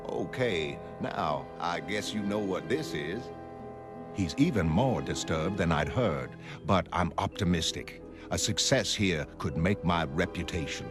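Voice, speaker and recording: A man playing an earnest, self-assured psychoanalyst in a motion comic, speaking in a measured, clinical way. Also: low-pitched. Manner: measured, clinical, gently coaxing with patient. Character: earnest, self-assured male psychoanalyst dictating case notes. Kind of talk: scripted dramatic narration of a motion comic.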